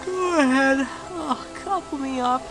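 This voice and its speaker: A woman's voice: high voice, unhurried. A woman voicing an earnest, obliging young male tank engine in an amateur audio drama, polite and a bit hesitant. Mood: busy. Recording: background music under the voice.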